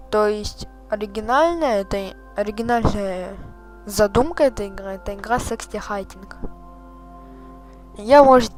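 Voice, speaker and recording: A man hosting a gaming channel, chatting casually and swearing as he reacts to the game. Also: high voice. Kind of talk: casual let's-play commentary.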